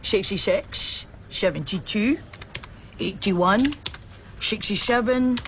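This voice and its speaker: A woman's voice: mid-range voice, slow pace, a bit bright, slight background noise. A woman playing a call centre worker in a comedy sketch, with a clumsy put-on Scottish accent. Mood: stiff.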